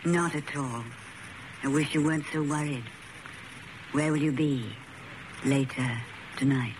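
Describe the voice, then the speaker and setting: A man's voice: on the high side, fairly even intonation, unhurried, natural voice. Man acting a persuasive acquaintance, noisy recording. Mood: solicitous, inviting. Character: persuasive, solicitous night-owl acquaintance. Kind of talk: radio drama acting. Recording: noisy old radio drama recording.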